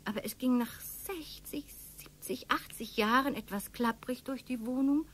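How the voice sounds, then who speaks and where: A woman playing rambling eccentric Karin, husky. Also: high-pitched, moderate pace, fairly bright tone. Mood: dreamy. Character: eccentric sister-in-law Karin, rambling fantasist. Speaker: radio drama actor. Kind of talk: amateur radio play reading.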